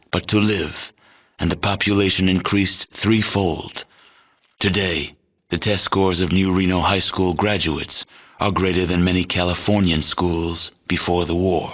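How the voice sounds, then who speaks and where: A man narrating a video game as a grave, detached chronicler of a post-apocalyptic wasteland, in measured, matter-of-fact storytelling. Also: sits low, a bit bright. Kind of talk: scripted game ending narration.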